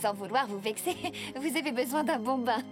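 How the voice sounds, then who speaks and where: A woman playing a brisk, sharp-tongued young noblewoman in an animated series dub, teasing and indignant. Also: a little high, over music, lightly acted. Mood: teasing, cross.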